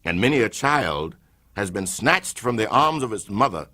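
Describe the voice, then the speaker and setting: Solemn deep-voiced man orating. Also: sing-song, moderate pace. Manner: solemn, rising to impassioned peaks and sinking to hushed tones. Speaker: reader of a historical speech. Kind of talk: dramatic oratory reading.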